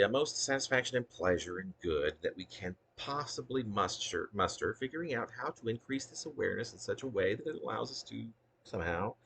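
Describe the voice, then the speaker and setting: A man with a gravelly, husky voice reading his own poem aloud in earnest, deliberate phrases, with casual asides. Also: lowish voice, a bit bright, slight background noise, rough recording. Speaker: poet reading own work.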